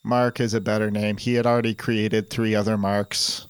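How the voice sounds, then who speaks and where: A man playing a resentful, pompous robot that speaks in a flat monotone with stiff, formal phrasing. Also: fairly low pitch, normal speed, clean tone, dry recording, lightly acted. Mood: deadpan. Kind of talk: improvised comedy sketch.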